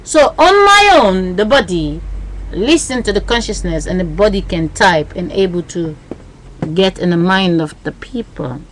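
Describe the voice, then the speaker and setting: A woman's voice: fairly low pitch, lively intonation, measured pace, slight background noise, low-quality recording. A woman talking into her webcam in a rambling, agitated monologue, repeating herself and using broken, non-native grammar. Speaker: webcam vlogger. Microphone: built-in webcam mic.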